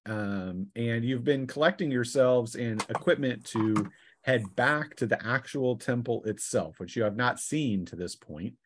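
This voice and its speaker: A man working as game master, narrating a tabletop fantasy session in a halting way, thinking aloud as he goes. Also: medium pitch, a little hiss or hum.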